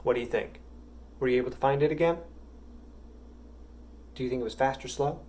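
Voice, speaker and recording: A man's voice: medium pitch, brisk, darkish tone. A man teaching a music lesson to young children, patient and encouraging.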